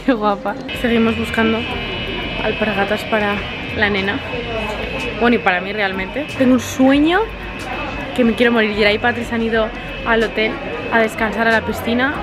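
A woman's voice: a little high, steady tone, moderate pace, pauses often, over music, low-quality recording. A young woman in her twenties from Spain, chatting casually to her handheld camera in a vlog, upbeat and full of fillers, a little stuffy from allergies. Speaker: vlogger. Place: hotel room and outdoors in a city. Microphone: handheld camera at arm's length.

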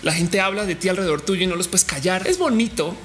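A woman in her thirties from Colombia, talking to camera in a candid personal vlog with an expressive, chatty manner and playful asides. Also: on the low side, fast, soft background music. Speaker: vlogger. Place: indoor home studio room with shelves.

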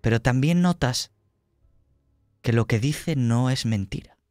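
A man acting as game master, narrating a horror scene in a deliberate, descriptive way with pauses. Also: medium pitch, darkish tone, no room sound, clean studio sound. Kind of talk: improvised tabletop horror narration.

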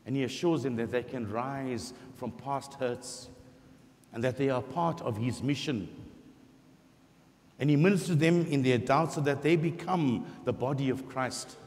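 Middle-aged priest preaching in a reverberant church. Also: male voice, mid-range voice. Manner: expressive preaching, voicing scripture quotes, slow emphatic pauses. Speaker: parish priest. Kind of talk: sermon. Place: large church sanctuary before the altar.

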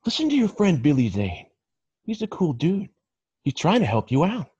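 A smug, easygoing hippie model acted by a man, dismissive and slangy. His pitch swings widely as he drawls, and the video-call sound is dry and a little dull. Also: huge pitch swings, moderate pace, dark tone, breathy edge, dry recording, heavily acted. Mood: smug, taunting. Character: laid-back, cocky hippie male model, smug rival. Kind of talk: amateur comedy table read over video call.

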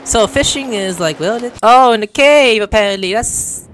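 A man's voice: sits high, moderate pace, fairly bright tone, slight background noise. A man giving casual, joking commentary as he streams a game. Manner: joking, reactive.

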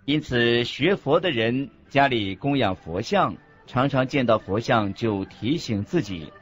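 A man's voice: medium pitch, lively intonation, measured pace, close to an everyday voice. A man reading scripted religious teaching for a radio drama in a careful, didactic manner. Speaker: narrator voicing a monk's teachings. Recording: muffled audio with music bed. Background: background music.

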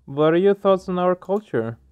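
A man giving casual let's play commentary, halting as he searches for words. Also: high voice, lively intonation, darkish tone, clear, resonant tone. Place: small room at home, indoors. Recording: dull muffled sound, music behind. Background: game music.

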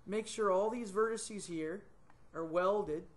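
A middle-aged man teaching a 3D modeling tutorial on screen, thinking aloud with many ums and quick self-corrections, recorded on a desktop webcam mic in a small room. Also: high voice. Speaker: software tutor.

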